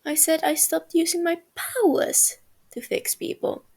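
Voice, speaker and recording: A woman's voice: very high voice, level tone, unhurried, darkish tone, voice pushed up. A woman voicing a cheerful, clingy young man who teases his brother in a storybook reading.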